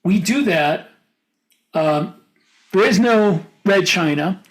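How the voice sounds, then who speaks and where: Halting man thinking aloud on a podcast. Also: high-pitched, lively intonation, low-quality recording. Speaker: podcast co-host and author. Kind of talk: casual panel discussion.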